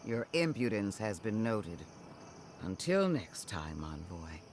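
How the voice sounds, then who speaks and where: A man's voice: on the low side, sing-song, slow pace, slight background noise. A man playing a stern, contemptuous military commander in a game cutscene, speaking in a formal, menacing, oratorical manner. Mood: bitter, threatening. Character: stern, contemptuous male military commander, threatening and self-righteous. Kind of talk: dramatic game cutscene acting.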